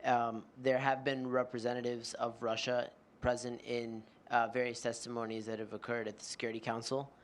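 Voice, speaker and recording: A man interpreting answers into English at a panel, measured, with frequent fillers. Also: medium pitch, little pitch movement, slight background noise.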